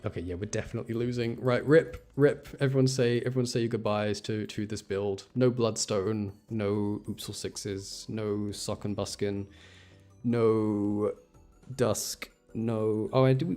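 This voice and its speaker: Man, very soft dark timbre, fairly deep, casual thinking-aloud game commentary, quiet music behind. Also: a little slow. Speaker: game streamer.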